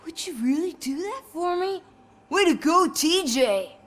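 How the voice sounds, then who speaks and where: A giddy, drug-addled woman in a video game, starstruck and wheedling. Her pitch swoops widely as she gushes and rambles. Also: expressive, measured pace, noisy background. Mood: delighted. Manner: gushing, rambling, erratic.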